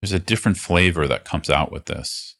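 A man leading a guided meditation as a teacher, speaking in an unhurried, reassuring way with phrases broken by pauses. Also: low-pitched, gravelly, a bit bright. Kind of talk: guided meditation, gently instructive.

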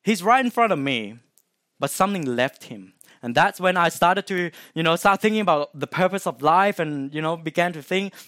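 A young Thai man with a Thai accent gives a personal testimony to a gathered audience. His voice sits a touch higher than most men's, and it is recorded dry and close with no room around it. He talks loosely, stopping often on "um" and restarting his phrases. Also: expressive, normal speed, a bit bright, dry recording. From Thailand (Chiang Mai). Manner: chatty and informal, many "um"s and restarts, asks the audience questions. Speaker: guest speaker sharing testimony.

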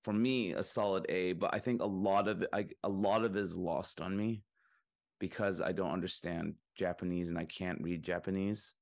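A man's voice: low voice, fairly even intonation, moderate pace, creaky. A man co-hosting a podcast, chatting casually about films in a rambling way with many fillers and restarts. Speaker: podcast co-host.

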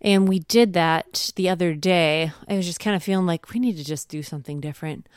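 Female podcast co-host, smooth lowish voice, encouraging, conversational advice in a close dry recording. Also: fairly quick, dry recording. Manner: encouraging, anecdotal. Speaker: podcast co-host, homeschooling mother.

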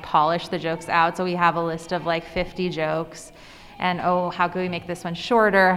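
A woman talks casually as an interview guest, her voice on the low side. The recording has long reverb and noticeable noise, and she leans on informal, enthusiastic phrasing. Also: fairly bright tone. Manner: informal, with frequent "like" and enthusiastic emphasis. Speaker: interview guest, author and cartoonist. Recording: reverberant room with clear background noise.

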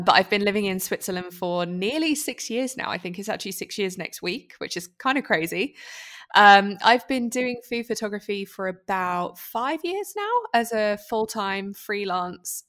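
A woman's voice: mid-range voice, a bit bright. A British woman in her twenties, a guest on an interview podcast, telling her story in a chatty way with lots of "um" and "kind of". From United Kingdom. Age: 20s. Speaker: guest, food photographer. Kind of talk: casual interview chat.

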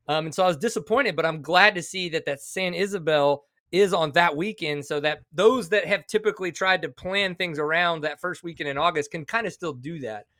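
A man in his forties, a co-host talking excitedly and emphatically in a casual podcast chat. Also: a little high, brisk, hardly any pauses, no echo, clean studio sound. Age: 40s.

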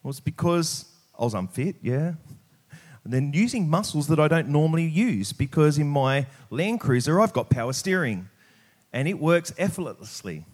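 A man's voice: a little high, lively intonation, moderate pace, darkish tone. A middle-aged Australian man preaching at church in an informal, plain-spoken, conversational way. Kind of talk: church sermon with prayer, scripture reading and casual anecdotes.

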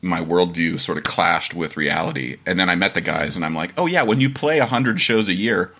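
A man's voice: deep voice, a little fast. A man in his thirties hosting a podcast, telling stories animatedly, laughing often and acting out what people said. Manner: animated storyteller, laughs often, acts out quotes. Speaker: podcast host. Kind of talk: casual interview chat.

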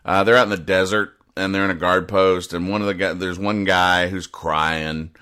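A man hosting a comedy podcast, explaining things in a deadpan way with halting restarts and emphatic repetition. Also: sits low, smooth. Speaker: podcast host. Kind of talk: deadpan comedic explanation of newspaper comic strips.

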